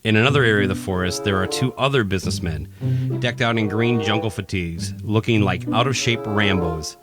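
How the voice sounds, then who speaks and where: A man's voice: on the low side, a little fast, over loud music. A man reading scripted narration for a radio play. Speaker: narrator, podcast host.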